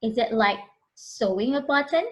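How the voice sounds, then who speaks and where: A woman's voice: a little high, lively intonation, moderate pace, lightly acted. A woman voicing an anxious, curious little boy from a picture book, exclaiming and repeating worried questions.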